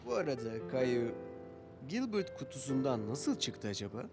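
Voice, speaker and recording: A man acting as a young cartoon father with a medium-pitched voice. He speaks patiently and soothingly, as if explaining things to a small child, with music playing behind him. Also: sing-song, normal speed, natural voice.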